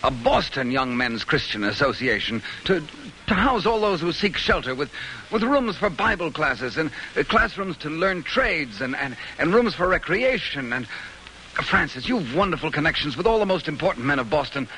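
A devout, self-doubting preacher in a vintage radio drama. He speaks haltingly and earnestly, with a bright, lively swing in pitch, over faint recording noise. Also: low-quality recording. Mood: eager. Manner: halting, earnest, repeats words. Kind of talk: old-time American radio drama acting.

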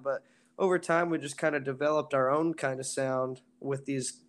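A man who sings in a band, with a raspy voice, talking casually in an interview with lots of fillers. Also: a little high. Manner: relaxed, rambling with many fillers. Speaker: band singer.

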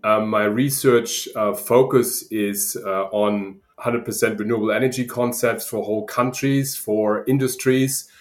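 A middle-aged man from Germany with a German accent, answering interview questions in a halting way with many fillers. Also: on the low side, steady tone, faint music behind. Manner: halting, many ums and uhs. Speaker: guest researcher. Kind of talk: podcast interview.

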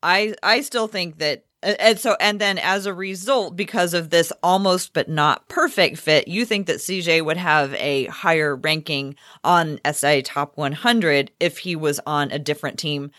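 A woman's voice: deep voice, unhurried, few pauses, a bit bright, dry recording, clean studio sound. An American woman chatting casually about sports as a podcast co-host.

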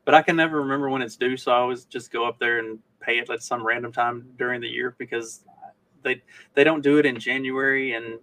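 A man's voice: medium pitch, pushed and bright. A man from Kentucky co-hosting a podcast, talking in a rambling, colloquial, casual way with some swearing. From Kentucky, United States. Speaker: podcast co-host. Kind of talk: casual chat. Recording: distant from the mic.

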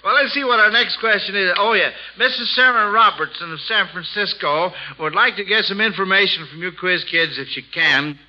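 A man's voice: high voice, normal speed, few pauses. A middle-aged American man hosting a 1940s radio quiz show in a folksy, encouraging, chatty way with children. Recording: dull lo-fi old radio recording.

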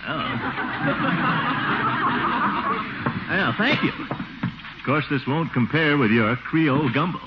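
An actor playing a pompous, fussy middle-aged American uncle and eager suitor in a vintage radio comedy, blustering and chuckling. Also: male voice, a little high, expressive, slow pace, pauses often. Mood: flustered, flirtatious. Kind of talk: 1940s-50s radio comedy acting. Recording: dull, noisy old radio recording.

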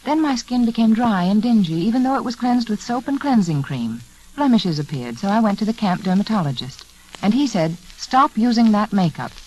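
A woman's voice: medium pitch, very animated, brisk, darkish tone. A woman hostess delivering a scripted 1940s radio advertisement. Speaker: sponsor's hostess. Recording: faintly noisy vintage radio recording.

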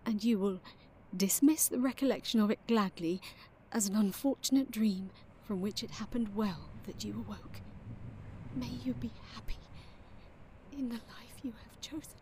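An actress playing a heartbroken, gently resolute young fiancée in an audio drama, breathy and formal in her delivery. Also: medium pitch, normal speed, a little hiss or hum, close to an everyday voice, low-quality recording. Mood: sorrowful, resolute.

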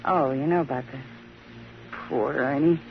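A woman's voice: rumbling low, normal speed, soft background music. Devoted, emotional American woman. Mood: wistful. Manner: emotional, earnest. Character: devoted, anxious, long-suffering woman. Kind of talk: 1950s radio drama acting. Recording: muffled, slightly noisy old radio recording.

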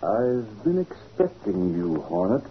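A man's voice: on the low side, very animated, takes it slowly. A man acting a slick crooked businessman villain in 1940s radio style, with a taunting manner. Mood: smug, taunting. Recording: muffled old radio recording, faint hiss.

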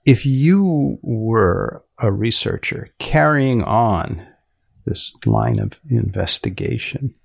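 A man hosting an interview podcast, speaking deliberately with repeated phrases and pauses. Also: fairly low pitch, vocal fry, breathy edge, dry recording, clean studio sound.

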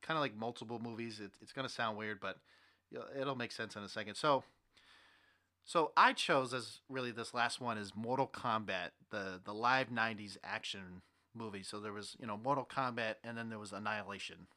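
A man hosting a podcast in casual chat, with an animated, jokey manner. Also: lowish voice, a little fast. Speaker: podcast host.

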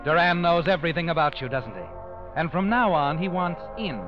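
An actor playing a sardonic, knowing suspense narrator who needles the character directly, in 1940s radio style. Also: male voice, on the high side, fairly quick, close to an everyday voice. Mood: ominous. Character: sardonic male narrator needling the guilty man. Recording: dull old radio recording over music.

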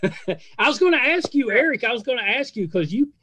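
A man's voice: very high-pitched, expressive, fairly quick, sharp tone, no room sound. A middle-aged man hosting a podcast in a casual conversational interview style, effusive and full of praise. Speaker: podcast host.

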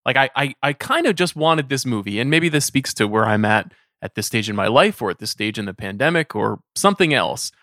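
A man's voice: medium pitch, expressive, brisk, hardly any pauses, bright, forceful tone, dry recording, studio quality. A man hosting a podcast in a casual, conversational style, talking things over with guests. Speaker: podcast host.

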